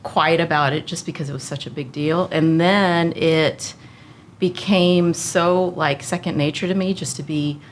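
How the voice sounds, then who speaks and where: A woman's voice: low voice, fairly even intonation, unhurried, a little hiss or hum. A woman in her forties, a podcast guest, telling her life story in an earnest, reflective way with frequent fillers. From United States. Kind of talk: casual conversational interview.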